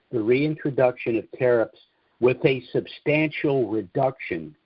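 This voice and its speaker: A man with a low, husky voice holds forth on a conference call, lecturing and asking rhetorical questions before answering them. The sound is dry and muffled, like lo-fi call audio. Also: dry recording. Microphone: phone or call line.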